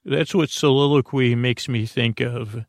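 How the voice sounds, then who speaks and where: A man hosting a sleep podcast in a meandering, halting, gently silly monologue that keeps trailing off and circling back. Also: medium pitch, fairly even intonation, no echo, clean studio sound. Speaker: podcast host.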